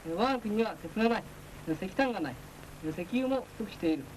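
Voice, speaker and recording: Stern naval instructor on noisy old film. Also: male voice, sits high, lively intonation, quick pace. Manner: stern, declamatory, with frequent hesitation sounds. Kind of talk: formal military lecture. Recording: noisy 1940s film soundtrack.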